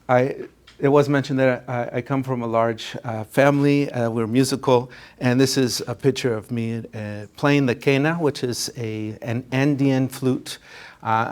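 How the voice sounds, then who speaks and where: Earnest middle-aged counselor telling his story. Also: mid-range voice. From Ecuador. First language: Spanish. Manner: earnest storytelling with frequent fillers and restarts. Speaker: college counselor. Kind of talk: personal story in a presentation.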